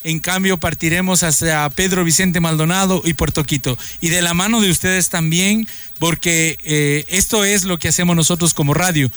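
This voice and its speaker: A man's voice: on the high side, steady tone, normal speed, fairly bright tone, smooth, no echo. A man reporting live for radio from a town park, speaking effusively with many hesitations and restarts. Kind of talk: live radio remote broadcast. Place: outdoors in a town park, in the rain.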